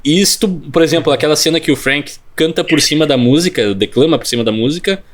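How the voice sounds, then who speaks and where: A man's voice: a little high, fast talker. A man co-hosting a casual film podcast, reading a plot summary aloud with joking asides and occasional stumbles. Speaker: podcast co-host.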